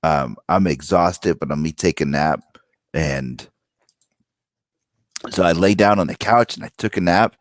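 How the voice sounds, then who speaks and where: A man with a slightly hoarse voice, co-hosting a casual talk show and telling stories in an animated way, acting out what was said. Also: deep voice, unhurried, pauses often, no echo, studio quality. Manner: animated storyteller, quotes himself and others. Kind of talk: casual banter.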